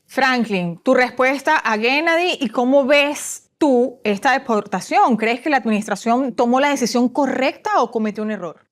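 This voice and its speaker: A woman host moderating a news panel, asking crisp, formal questions. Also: high voice, normal speed, few pauses.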